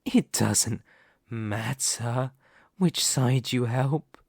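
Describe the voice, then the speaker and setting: A man playing a dominant, vain and possessive demon lord in an ASMR roleplay, speaking formally and theatrically with deliberate dramatic pauses. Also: medium pitch, darkish tone, husky, dry recording, studio quality, heavily acted. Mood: persuasive. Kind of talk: ASMR roleplay character monologue.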